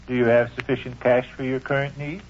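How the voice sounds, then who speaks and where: A man's voice: medium pitch, little pitch movement, a little fast, a little hiss or hum, close to an everyday voice. An actor in an old-time radio comedy playing a sheepish, scheming father who speaks in stilted, flowery, hesitant phrases. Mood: coaxing, evasive. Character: sheepish scheming father, pompously wordy, coaxing his son. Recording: dull, muffled old radio recording.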